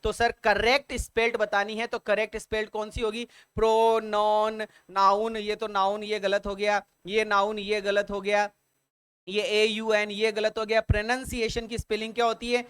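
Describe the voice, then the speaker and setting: A man teaching an online exam-prep English vocabulary lesson in Hindi. He repeats points over and over, spells words out letter by letter, and reads the English prompts slowly and deliberately. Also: sits high, monotone, fairly bright tone, smooth, dry recording. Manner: addresses viewers as sir and dear children.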